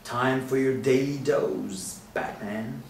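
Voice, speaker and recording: An actor at a table read playing a mocking, sinister masked villain. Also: male voice, medium pitch, steady tone, slow, vocal fry. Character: sinister male villain in a sack mask, mocking. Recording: echoey room from far off with faint noise.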